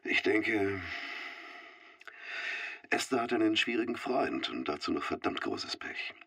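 An actor playing a gruff, no-nonsense police investigator with a husky voice and deadpan manner in a radio drama. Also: male voice, lowish voice, unhurried, no echo, clean studio sound, full character voice. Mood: dry, irritated. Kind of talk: naturalistic radio drama dialogue.

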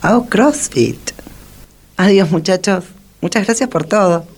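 An actress playing a suffering, gushingly polite young woman in a comic radio play, with an Argentine accent and husky, creaky voice. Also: fairly low pitch, a little slow, a little mellow. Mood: polite, coy. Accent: Argentine, Rioplatense.

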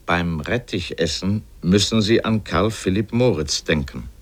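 An actor playing an erudite, opinionated literary critic who delivers a scripted radio essay with emphasis. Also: male voice, sits low, animated, a little slow, full character voice. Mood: pointed. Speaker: essayist speaker in a radio feature.

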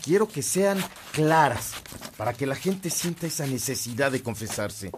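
A man's voice: mid-range voice, expressive, moderate pace, slight background noise, lightly acted. A male actor playing a devout, earnest and commanding young Mexican priest in a radio drama. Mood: urgent, bossy.

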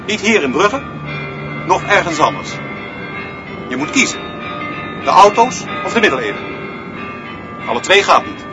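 A man's voice: high-pitched, big pitch swings, measured pace, long pauses. A man acting as an opinionated, pedantic schoolteacher in a radio drama, lecturing in a declamatory way. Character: opinionated, pedantic male schoolteacher leading pupils. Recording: dull recording with music behind.

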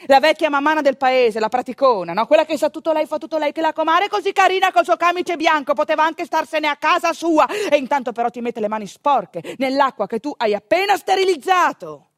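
A woman with a northeastern Italian accent telling a theatrical monologue in an expressive, conversational manner. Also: high voice, fairly quick, few pauses, dry recording, clean studio sound. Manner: expressive, conversational, with rhetorical questions and asides. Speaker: stage actor and storyteller.

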